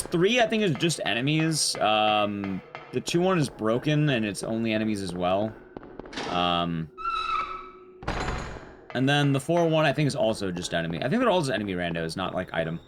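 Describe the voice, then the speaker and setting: Male streamer, chatty speedrun commentary, very lively pitch swings, clear background noise and clicks. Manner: rambling, chatty, jokey asides. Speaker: streamer speedrunning a horror game. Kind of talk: casual live game commentary.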